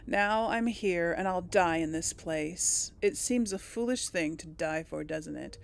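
A woman giving casual let's play commentary and reading game text aloud, with a creaky voice. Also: lowish voice, unhurried. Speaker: gaming host. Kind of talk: reads game text and dialogue choices aloud.

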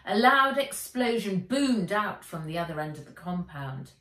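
A middle-aged woman reading an adventure story for children aloud, with expressive, dramatic, emphatic delivery. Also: on the low side, a little slow, distant mic. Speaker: author reading her own book aloud. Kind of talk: dramatic audiobook-style narration for children. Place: indoors, bright room with armchair. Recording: roomy, echoey, away from mic.

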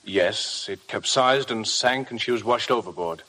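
An actor playing a discreet, reticent estate manager in a radio drama, polite and halting. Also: male voice, fairly low pitch, bright, forceful tone, a little hiss or hum, low-quality recording. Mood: guarded, polite. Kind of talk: 1950 radio drama acting.

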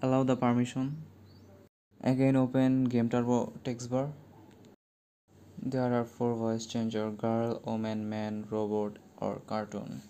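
Male tech tutorial narrator, smooth and flat in delivery, short step-by-step lines. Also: medium pitch, measured pace. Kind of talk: scripted how-to narration.

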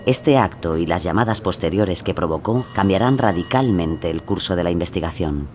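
A man's voice: sits low, animated, normal speed, very noisy. A man narrating a true-crime story from a script as its storyteller.

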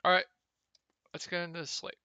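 A young man with a slightly hoarse, gravelly voice, casually talking through fantasy football picks over a screen share, with many ums. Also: sits high, fairly quick, no echo. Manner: relaxed, lots of ums. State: a little hoarse. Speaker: fantasy sports analyst.

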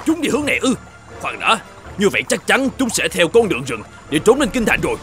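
A man's voice: high voice, huge pitch swings, fast, fairly bright tone, faint music behind, full character voice. An actor playing a hot-tempered, domineering middle-aged innkeeper and vengeful father in a cartoon folk tale, blustering and threatening. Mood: furious, threatening.

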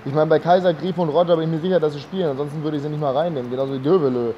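A man's voice: on the high side, steady tone, rapid-fire, mellow, lo-fi sound, a little hiss or hum. A young man chatting casually to the camera in an upbeat, slangy way, with a slight cold. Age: young adult. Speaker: vlogger. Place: outdoors on residential streets and indoors in a living room. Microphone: phone or camera at arm's length.

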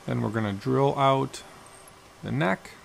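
A man in his forties, a guitar builder, calmly explaining each step of his work in a matter-of-fact how-to narration in his workshop. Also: medium pitch, measured pace, gravelly, a little hiss or hum. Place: cluttered workshop indoors.

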